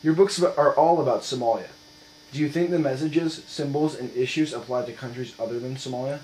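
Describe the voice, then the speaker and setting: A man's voice: medium pitch, fairly quick, a little mellow. Formal male interviewer in echoey room. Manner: formal, polite. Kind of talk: scripted interview questions. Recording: echoey room with mains hum.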